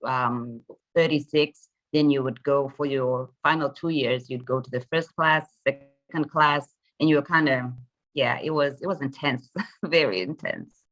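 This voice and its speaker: A woman speaks as a guest minister, earnest and reflective, often laughing lightly and pausing on fillers. Her voice sits low yet has a forceful, bright edge. The recording is dull and lo-fi. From Zimbabwe. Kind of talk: casual testimony in an interview.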